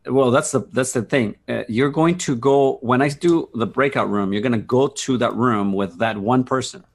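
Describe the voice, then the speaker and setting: Mid-pitched man casually explaining a demo. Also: moderate pace, gravelly. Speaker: video-call demo presenter.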